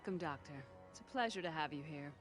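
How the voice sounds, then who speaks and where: A woman's voice: sits low, lively intonation, fast, soft background music. An actress playing a villain's polite, cool female aide in a video game, formal and composed.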